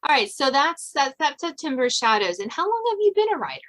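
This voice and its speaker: Bright-voiced woman hosting a podcast. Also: sits high, big pitch swings, fairly quick. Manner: chatty, self-deprecating. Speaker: podcast host. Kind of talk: casual interview chat.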